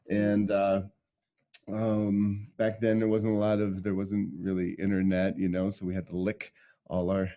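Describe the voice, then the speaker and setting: A middle-aged man from Michigan, telling his life story as an interview guest in a relaxed way, with many ums and laughs. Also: lowish voice, dull audio. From Michigan, USA. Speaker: guest, sound healer.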